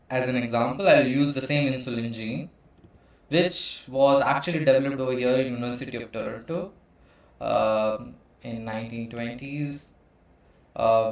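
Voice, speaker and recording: A man from India in his thirties hosts a teaching channel and explains computational biology from a slide deck. He hesitates often, filling gaps with uh, and his pitch sits in the middle range. His voice rings out in a very echoey room. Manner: hesitant, frequent uh fillers.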